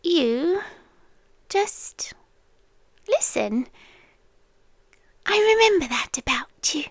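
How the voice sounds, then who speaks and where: British actress as ancient, possessively devoted female beast for ASMR roleplay: high, unhurried, whispery, intimate delivery. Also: very animated, no room sound, full character voice. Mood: tender, wistful. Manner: slow, hushed delivery with frequent whispers and long pauses.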